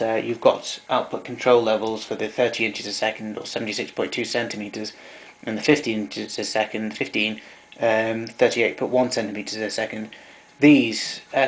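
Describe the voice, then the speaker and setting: A man giving an informal technical demonstration in a hesitant, halting way, as a hobbyist showing equipment. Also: fairly low pitch. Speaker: vintage tape recorder enthusiast demonstrating equipment. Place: indoors, small domestic room.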